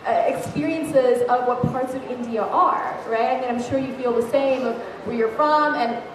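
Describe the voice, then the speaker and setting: Young woman from thailand on a panel, a bit high, telling travel stories, echoey far-off hall sound. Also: moderate pace, noisy background. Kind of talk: panel discussion. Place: large hall with a live audience. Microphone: handheld mic.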